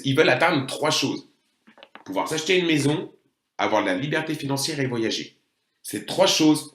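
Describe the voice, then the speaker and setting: Echoey French man giving a sales pitch. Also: a bit bright. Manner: emphatic, salesy, addresses listeners with rhetorical questions. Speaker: network marketing presenter. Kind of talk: sales presentation, explaining a pay plan. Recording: roomy, echoing voice recording.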